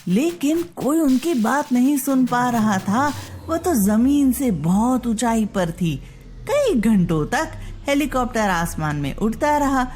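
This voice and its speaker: Woman narrating a story, slow and animated. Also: a little high, a little hiss or hum. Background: background music.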